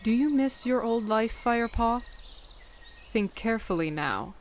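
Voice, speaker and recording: A woman voicing a calm female clan leader cat who questions in a measured, probing way. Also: fairly high pitch, slight background noise. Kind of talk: fan audiobook voice acting.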